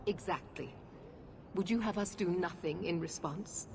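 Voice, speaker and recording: An actress playing a stern, unyielding knight commander, with a commanding, severe and formal delivery in a fantasy game. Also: female voice, lowish voice, unhurried, a crowd in the background. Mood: firm, defiant. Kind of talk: dramatic fantasy video game acting.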